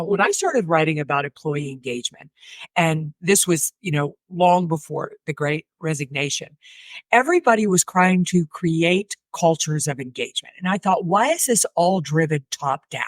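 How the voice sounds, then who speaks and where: A woman's voice: deep voice, no echo. A middle-aged woman hosting a livestream interview over a video call, thinking aloud enthusiastically as she frames her questions. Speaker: livestream host. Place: wood-panelled room, indoors.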